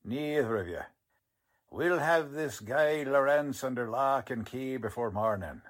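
A man playing a rough, easygoing police lieutenant with colloquial tough-guy talk in a 1940s radio drama. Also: mid-range voice, expressive, measured pace, natural voice. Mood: amused, reassuring.

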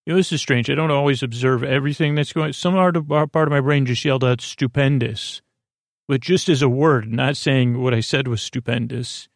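Creaky-voiced man, rambling and soothing. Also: mid-range voice, dry recording, studio quality. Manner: meandering, halting, trails off into tangents; soothing, lulling. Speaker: podcast host. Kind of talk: rambling, improvised bedtime monologue.

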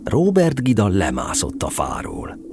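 Casting: male narrator for a children's audio play. Lowish voice, highly animated storytelling, faint background noise. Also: creaky. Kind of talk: scripted storybook narration, expressive.

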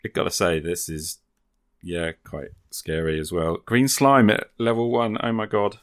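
Low-pitched man in casual game chat. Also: flat delivery, measured pace, dry recording, clean studio sound. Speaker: tabletop role-playing game player and podcaster.

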